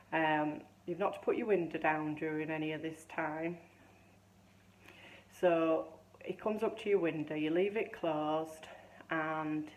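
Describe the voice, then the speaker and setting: A woman in her fifties chatting casually to camera, rambling and restarting as she tells a story, her voice still a little hoarse. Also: sits low, poor audio. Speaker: vlogger.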